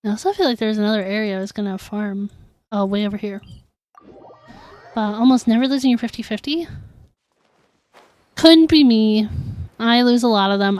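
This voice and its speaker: A woman's voice: on the high side, moderate pace, pauses often, no room sound. A woman chatting casually and informally while streaming a video game, reacting to viewers as she plays. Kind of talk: casual livestream chat while gaming.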